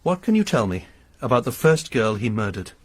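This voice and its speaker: Brief: a vengeful, Bible-quoting lodger for a radio play, husky, with a very dry, close sound. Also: male voice, mid-range voice, very animated, a little fast, no room sound. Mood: solemn. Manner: formal, sermonizing, quotes scripture. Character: grim pious lodger, grieving vengeful father. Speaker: lodger under arrest. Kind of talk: radio drama acting.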